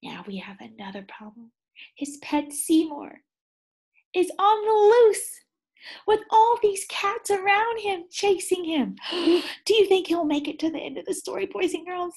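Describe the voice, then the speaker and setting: A woman teacher reading a picture book aloud to young children, pausing to ask them questions, with gasps and sighs. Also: very high-pitched, animated, a little mellow. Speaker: second grade teacher.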